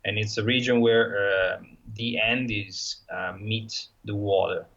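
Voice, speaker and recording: A man's voice: lowish voice, fairly even intonation, takes it slowly, a bit bright, muffled recording. A man with an Italian accent, talking casually as an interview guest, hesitant and full of fillers and restarts. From Italy. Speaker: guest, motorcycle traveler.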